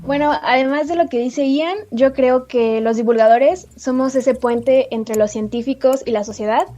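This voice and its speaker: A woman's voice: sits high, moderate pace, warm tone, faint music behind. An eighteen-year-old woman with a Mexican Spanish accent, a podcast guest talking earnestly with small restarts. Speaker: guest, youth science society member. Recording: muffled lo-fi mic.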